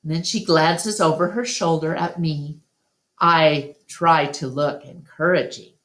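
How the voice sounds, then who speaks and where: A woman reading a children's book aloud as a storytime narrator, with deliberate, expressive phrasing. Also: low-pitched. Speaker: storytime reader. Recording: roomy, echoey home recording.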